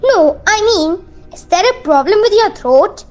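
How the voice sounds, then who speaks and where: A woman's voice: extremely high pitch, animated, a little slow, darkish tone, muffled recording, soft background music. A woman playing a bold, fussy mother with a chatty, insistent manner in an amateur radio play. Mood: flustered, babbling. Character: Doris, outspoken, fussy, bold village mother.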